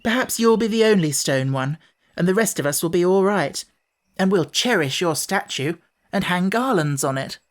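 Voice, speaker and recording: A woman's voice: fairly low pitch, lively intonation, moderate pace, pitched above the performer's own voice, lightly acted. A woman voicing a kind young boy in a children's storybook, reassuring and matter-of-fact. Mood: kindly.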